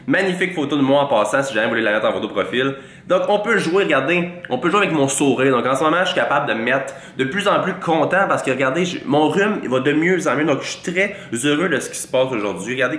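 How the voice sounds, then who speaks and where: A man's voice: medium pitch, expressive, fast talker, fairly bright tone. Québécois man in his twenties, stuffy with a cold, teasing and mock-boastful, close studio mic in an echoey little room. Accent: Québécois French. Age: 20s. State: congested with a cold. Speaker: fitness vlogger. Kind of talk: joking tutorial to camera. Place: small room with a green-lit wall. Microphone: large studio mic in front.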